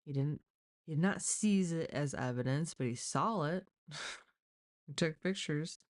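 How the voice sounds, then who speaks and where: A woman's voice: deep voice, measured pace. A woman hosting a casual live true-crime stream, talking informally and giving her opinions. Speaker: live stream host commentator.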